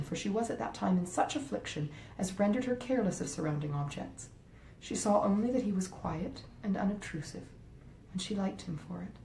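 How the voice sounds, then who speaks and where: A woman reading a classic novel aloud as scripted literary narration. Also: warm tone. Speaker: audiobook reader, author reading a classic novel. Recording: roomy echo, faint rumbling noise.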